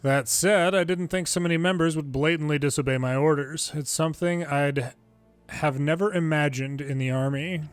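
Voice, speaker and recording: A man reading a game script aloud in an expressive, deliberate and dramatic way. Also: a little high, clear, resonant tone, no echo, soft background music. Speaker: longplay video maker reading game dialogue.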